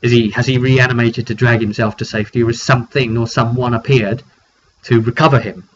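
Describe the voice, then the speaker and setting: A man's voice: mid-range voice, steady tone, fairly quick. A British man, a game master, casually recounting a tabletop roleplay session in a chatty, rambling way and acting out what players said. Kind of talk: casual storytelling monologue. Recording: dull lo-fi mic with faint noise.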